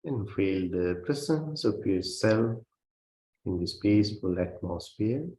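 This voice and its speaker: A man who is a Buddhist meditation teacher, guiding a meditation in a gentle, measured way with long pauses between phrases. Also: fairly low pitch, lively intonation. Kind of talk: guided meditation. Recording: roomy, reverberant sound.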